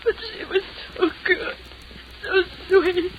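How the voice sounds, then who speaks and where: High-pitched, anguished young woman. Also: level tone, takes it slowly. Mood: grief-stricken. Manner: tearful, pleading, melodramatic. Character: anguished young woman blaming herself for friend's death. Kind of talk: old-time radio horror drama acting. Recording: dull, noisy vintage radio recording.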